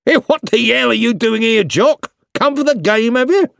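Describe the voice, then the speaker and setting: A British man voicing hearty, excitable soldiers of the trenches, calling out in an audiobook story. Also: very high voice, normal speed, creaky, no echo, clean studio sound, voice pushed up. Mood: jovial surprise. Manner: calling out, exclamatory. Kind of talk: audiobook character reading.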